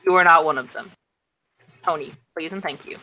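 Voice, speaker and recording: A woman's voice: deep voice, brisk, sharp tone, no room sound, rough recording. A woman playing a tabletop game, chatting bluntly in character with swearing and lots of fillers. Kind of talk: casual in-character roleplay chat.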